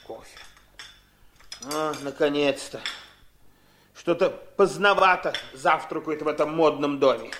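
A man's voice: a little high, measured pace, long pauses, a bit bright, a little hiss or hum. An actor playing an irritable, bullying, grasping middle-aged father in a radio drama, brusque and given to nagging repetition. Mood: gruff. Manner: brusque, nagging, repeats himself for emphasis. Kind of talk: theatrical radio drama acting.

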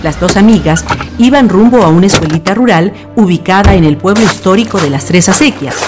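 A woman reading scripted radio drama narration as a deliberate, theatrical storyteller. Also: mid-range voice, animated, music in the background.

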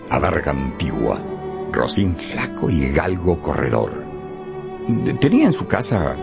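An actor playing a wry male storyteller in a radio drama, dramatic and playful, with deliberate hesitations. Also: extremely low pitch, gravelly, full character voice. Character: lively, wry male storyteller of a classic tale.

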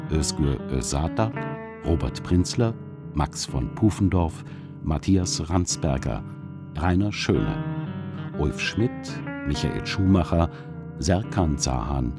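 A man announcing a radio play and its credits in a scripted, solemn, deliberate way. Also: extremely low pitch. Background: plucked guitar music.

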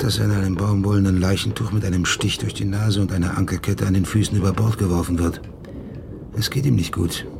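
An actor playing a wary, dutiful ship's lieutenant in a radio play, measured, formal and foreboding. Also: male voice, low voice, vocal fry, background noise.

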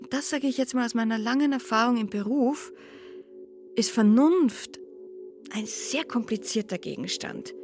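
Reflective female commentator over music. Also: fairly high pitch. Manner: deliberate, philosophical, formal. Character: measured, reflective female commentator with long professional experience. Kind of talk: essayistic monologue in a radio play.

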